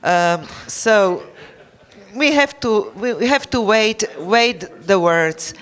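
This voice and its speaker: A man speaking as a politician on a discussion panel, slow and halting in non-native English. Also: high-pitched, lively intonation, a bit bright. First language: not English. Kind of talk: panel debate.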